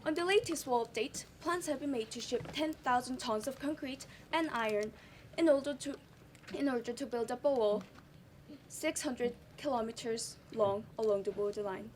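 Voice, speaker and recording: Young woman as high-voiced TV news anchor. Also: normal speed, darkish tone, lightly acted. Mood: formal. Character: formal female television news anchor. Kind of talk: scripted newsreading in amateur stage play. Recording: noisy live stage recording.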